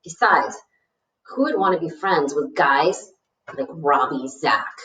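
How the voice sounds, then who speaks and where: A woman's voice: low voice, lively intonation, takes it slowly, bright, forceful tone, pitched below the performer's own voice, full character voice. A woman reading a storybook letter as a self-assured, chatty seventh-grade boy who talks frankly and boasts a little. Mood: boastful, chatty. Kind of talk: storybook read-aloud of a letter. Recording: roomy, echoey, distant mic.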